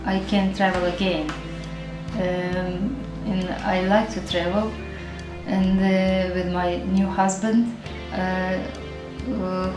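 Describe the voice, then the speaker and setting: Slow-spoken middle-aged woman from Ukraine. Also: medium pitch. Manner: slow, halting, many fillers. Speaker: network marketing distributor. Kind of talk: personal testimonial. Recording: roomy echo, faint music.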